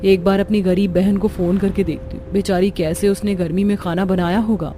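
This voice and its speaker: A woman's voice: mid-range voice, level tone, fairly quick, dark tone, soft background music, close to an everyday voice. A woman voicing a young bride in a rich household in a cartoon, showing off and self-pitying. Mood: self-pitying, smug.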